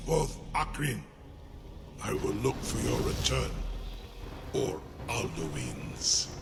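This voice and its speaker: Slow, gravelly ancient dragon. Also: male voice, medium pitch, very animated, full character voice. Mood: solemn. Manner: slow, ponderous, grandly formal. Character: ancient mighty male dragon, menacing and solemn.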